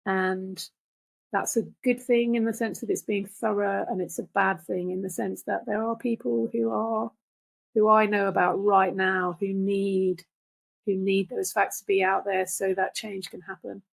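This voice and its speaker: Older woman, gravelly and husky, podcast guest, reflective conversation, earnest deliberate phrasing. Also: medium pitch, little pitch movement, poor audio.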